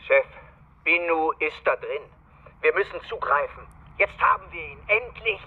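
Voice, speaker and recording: An actor playing an alert police observer giving clipped stakeout reports in a radio crime drama. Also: male voice, a little high, lively intonation, normal speed, breathy edge, narrow, tinny audio. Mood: tense warning.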